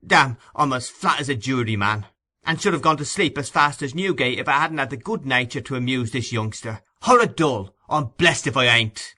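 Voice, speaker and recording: A man's voice: medium pitch, expressive, moderate pace, sharp tone, pitched above the performer's own voice. A man voicing a vain, swaggering gambler with a haughty drawl.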